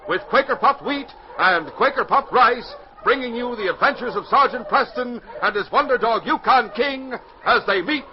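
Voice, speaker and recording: Male 1940s radio announcer-narrator, North American, dramatic and highly inflected, on a muffled, hissy old recording. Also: expressive, moderate pace, hardly any pauses. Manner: dramatic, emphatic.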